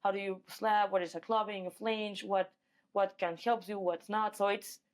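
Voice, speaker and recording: A woman's voice: medium pitch, steady tone, normal speed. A woman from Mexico with a Mexican accent, talking casually as an athlete being interviewed. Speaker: guest, professional MMA fighter.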